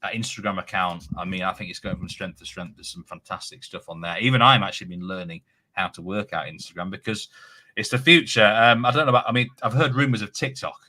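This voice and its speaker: A man's voice: on the low side, quick pace, bright, forceful tone. A man hosting a live betting show, chatting casually with many restarts. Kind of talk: casual live studio chat.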